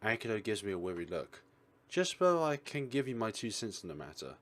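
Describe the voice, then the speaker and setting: A man's voice: lowish voice, sing-song, brisk, a bit bright, a little hiss or hum. A man reading a visual novel aloud as narration for a let's play, now and then stumbling over a line. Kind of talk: expressive read-aloud narration. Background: game music.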